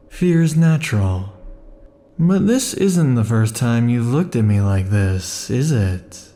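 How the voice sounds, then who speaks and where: A man voicing an obsessive, possessive male immortal in an ASMR roleplay, talking close to the mic in a slow, intimate, brooding way. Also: on the low side, mellow. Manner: slow, intimate, brooding delivery with sudden furious outbursts.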